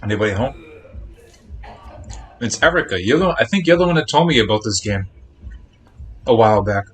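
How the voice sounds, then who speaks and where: A man's voice: fairly low pitch, expressive, a little fast. A man livestreaming a video game, chatting playfully and casually straight to his viewers. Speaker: game streamer. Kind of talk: casual livestream chat while playing. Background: faint game music.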